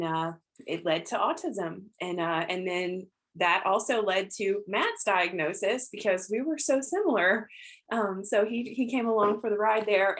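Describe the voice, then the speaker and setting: A woman's voice: medium pitch, fairly bright tone. A woman from the United States introducing herself to a round table in an informal, chatty way. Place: indoors on a group video call.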